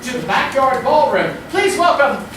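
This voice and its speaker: A woman's voice: sits high, big pitch swings, normal speed, a bit bright, a little hiss or hum. A woman hosting a live stage show, presenting like a game-show announcer to an audience. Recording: very echoey hall from far off, music behind.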